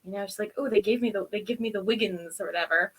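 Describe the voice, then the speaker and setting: A woman co-hosting a casual review, recapping in a chatty, rambling, giggly way with animated storytelling. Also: mid-range voice, fast talker, husky, rough recording. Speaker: review show host.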